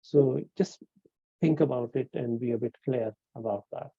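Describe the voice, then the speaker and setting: Casting note: middle-aged man, Pakistani English accent, gravelly, very dark tone, explaining things loosely and informally. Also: medium pitch, moderate pace, no echo, dull audio. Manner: explanatory, loose, many fillers and restarts. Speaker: webinar speaker on medical training. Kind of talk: informal webinar talk.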